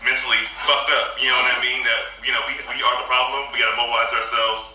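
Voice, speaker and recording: A man's voice: mid-range voice, level tone, quick pace, sharp tone, a little hiss or hum. A man hosting a casual discussion podcast, talking loosely with slang and swearing. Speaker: podcast host. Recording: echoey room, distant mic.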